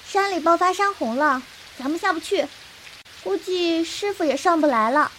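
A woman's voice: extremely high pitch, expressive, normal speed, fairly bright tone, a little hiss or hum. An actress playing a blunt, stubborn, protective young wild girl in a period wuxia audio drama, speaking in a curt, bossy way. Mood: determined. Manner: curt, brusque, bossy but caring.

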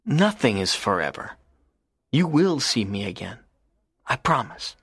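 An actor playing a lonely, earnest teenage schoolboy in an audiobook, talking in a soft, low, pleading way. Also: male voice, very animated, a little slow, husky, no room sound, clean studio sound, full character voice. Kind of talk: audiobook character reading.

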